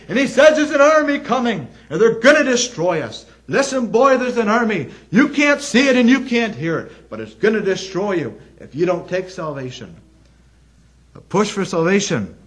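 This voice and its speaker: A male preacher with a high voice delivers a gospel sermon. His pitch rises and falls widely as he tells stories with dramatic urgency. He puts pointed questions to his listeners in deep earnest. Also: a bit bright. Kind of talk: evangelistic sermon. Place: speaking to a gathering in a gospel hall.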